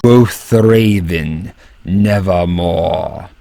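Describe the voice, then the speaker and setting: Man putting on a deep gravelly voice of doom. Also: heavy background noise, full character voice. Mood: grim, foreboding. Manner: slow, solemn, drawn-out. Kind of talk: theatrical recitation of horror poetry.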